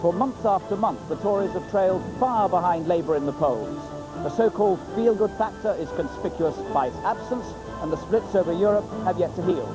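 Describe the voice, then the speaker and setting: A man's voice: high voice, expressive, brisk, music in the background, poor audio. A man with a British accent presenting a scripted trailer for a political television programme.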